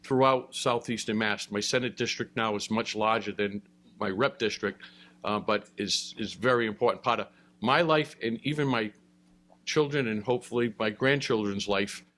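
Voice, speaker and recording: A middle-aged man, speaking on a panel in a relaxed, halting way with many fillers. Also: fairly low pitch. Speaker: state senator. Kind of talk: panel remarks.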